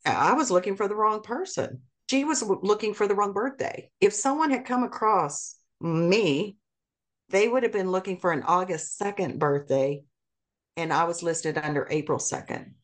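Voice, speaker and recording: A woman's voice: medium pitch, expressive, moderate pace. A middle-aged woman from South Korea, a podcast guest speaking earnestly and carefully, spelling out names and details. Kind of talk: conversational interview.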